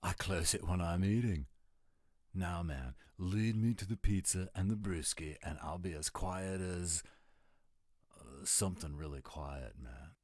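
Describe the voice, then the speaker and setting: Male actor as goofy American dude, audio drama. Deep, slow slacker drawl, rambling and clueless. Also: darkish tone, dry recording, clean studio sound. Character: laid-back goofy American dude werewolf friend, Moondoggy. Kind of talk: scripted audio roleplay.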